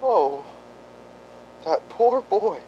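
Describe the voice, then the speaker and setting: Man playing a slow, frail, evasive doctor. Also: expressive, warm tone, muffled recording, exaggerated voice. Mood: evasive, sorrowful. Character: frail injured male doctor, evasive and apologetic. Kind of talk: radio drama acting. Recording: faint rumbling background noise.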